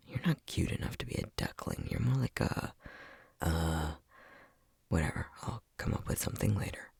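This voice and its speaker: A man's voice: low-pitched, wildly swooping pitch, normal speed, creaky, long pauses, a little mellow, slightly rough, exaggerated voice. A man playing an unhinged, bossy scientist who teases and condescends in a sardonic ASMR roleplay. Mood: weary, bossy. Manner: sardonic, teasing, condescending, with frequent sighs.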